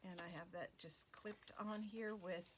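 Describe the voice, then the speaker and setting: A woman hosting a craft video, talking casually through a flip-through with many fillers and pauses. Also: lowish voice, steady tone, noisy background.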